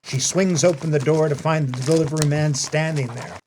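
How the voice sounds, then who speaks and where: A man's voice: fairly high pitch, steady tone, fairly quick, noisy background. A man narrating an audio play, reading scripted stage directions.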